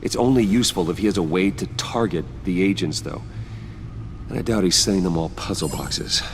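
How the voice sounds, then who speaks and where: A grave vigilante detective. He is measured, terse and controlled, as in a dramatic video game, with clear noise behind him. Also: low voice. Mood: grave, analytical. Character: grave, determined adult male vigilante detective, low and controlled. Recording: clearly noisy game audio.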